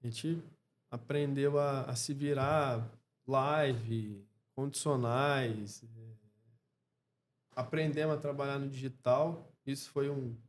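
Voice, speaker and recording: A Brazilian man speaking as a podcast guest in a casual interview, reflective and halting, often checking in with short tag questions. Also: mid-range voice, fairly even intonation. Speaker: podcast guest, clothing store co-founder.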